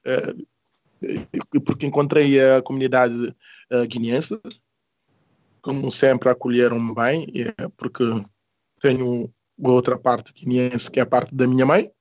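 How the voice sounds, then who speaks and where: A man's voice: medium pitch, flat delivery, measured pace, no echo, highs cut off. Man, very dry close sound. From Senegal. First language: not Portuguese. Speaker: interview guest, musician. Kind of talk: casual, reflective personal storytelling.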